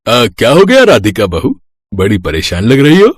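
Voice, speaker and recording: A man's voice: medium pitch, huge pitch swings, normal speed, slight background noise. A man voicing a sly, lecherous older father-in-law in a cartoon, with a coaxing, smarmy manner. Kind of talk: cartoon dubbing.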